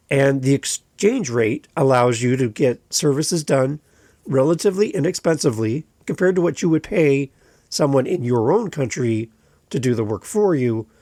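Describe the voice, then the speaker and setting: A man's voice: medium pitch, a little mellow, no room sound, clean studio sound. A man with a Canadian accent from Canada, hosting a solo podcast in a chatty, rambling way with light humor. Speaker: podcast host.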